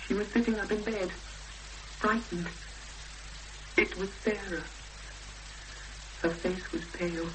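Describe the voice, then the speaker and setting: A woman's voice: medium pitch, steady tone, slow, long pauses, close to an everyday voice. An actress playing a distressed, determined young woman, tense and earnest, in a 1970s radio drama. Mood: haunted narration. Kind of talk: 1970s radio drama acting and first-person narration. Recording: noisy old radio broadcast with hum.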